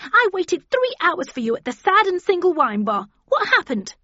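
A woman voicing an angry, jealous middle-aged woman in an audiobook, shrill and scornful. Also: brisk, slightly rough, dry recording, lo-fi sound. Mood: furious. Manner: shrill, spitting, rising indignation.

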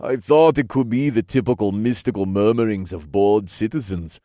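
A man voicing a regal, genial fantasy king with formal, measured phrasing in an audiobook. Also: fairly low pitch, mellow, no echo, clean studio sound, natural voice. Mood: curious, stately.

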